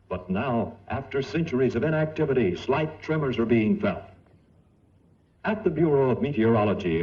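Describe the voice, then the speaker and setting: A man performs a portentous vintage cartoon narrator with a middling pitch. He is formal and measured, and the old film soundtrack dulls his voice. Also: mellow, muffled recording, close to an everyday voice. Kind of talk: 1940s scripted cartoon narration.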